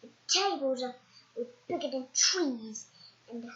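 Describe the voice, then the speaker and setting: High child voice, bright, slow halting storybook reading, roomy echo, dull lo-fi recording. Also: expressive. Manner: halting, stumbling reading with self-corrections. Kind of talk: read-aloud storytelling of a picture book.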